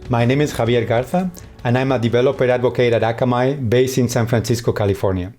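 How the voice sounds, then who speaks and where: Spanish accent guy reading his conference talk, warm mellow voice, middle pitch, even and measured. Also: faint music behind. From Spain. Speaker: conference speaker. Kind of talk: scripted tech talk.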